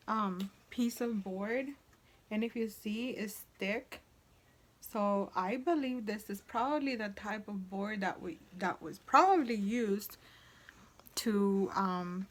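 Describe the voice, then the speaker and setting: A woman in her forties, a native Spanish speaker, chatting casually in a rambling vlog about her sewing tools, with many restarts along the way. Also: mid-range voice. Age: 40s. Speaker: sewing vlogger.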